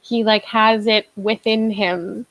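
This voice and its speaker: A woman's voice: mid-range voice, steady tone, slow. A woman co-hosting a book discussion, talking in a casual conversational style. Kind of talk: casual chat.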